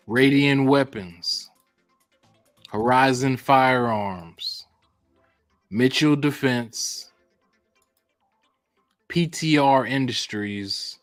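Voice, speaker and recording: A man hosting a video podcast, with a mid-pitched voice recorded dry and close. He reads announcements in an upbeat, announcer-like way, then drops into easy, slangy chat, with faint music behind him. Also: dry recording. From United States. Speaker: podcast host.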